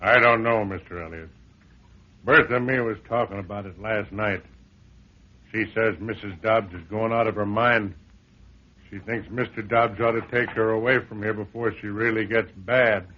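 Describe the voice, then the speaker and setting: A man's voice: lowish voice, steady tone, moderate pace, lightly acted. An actor playing a folksy, plain-spoken handyman in a vintage radio drama, deferential, wary and skeptical. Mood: matter-of-fact, skeptical. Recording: dull old radio recording.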